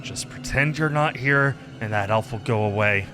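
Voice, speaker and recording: A man's voice: mid-range voice, moderate pace, music in the background, close to an everyday voice. A man voicing a sulky, sarcastic satyr slacker from a visual novel game, whiny and dramatic. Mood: anxious self-soothing. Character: sulky sarcastic male satyr, lazy hard-drinking slacker.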